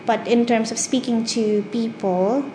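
A woman in her twenties with a Filipino accent, whose first language is Tagalog. She reads a story carefully, then talks about herself in measured speech with frequent "um" fillers. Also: fairly high pitch, steady tone, noisy background. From Philippines. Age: 20s. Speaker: dialect archive speaker. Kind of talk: reading a passage, then casual self-description.

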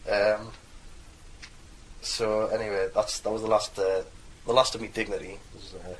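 A man's voice: fairly low pitch, little pitch movement, moderate pace, slightly rough, poor audio. A young man from North East England with a mild Geordie accent, telling a loose, rambling anecdote in a casual recorded interview. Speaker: dialect archive speaker.

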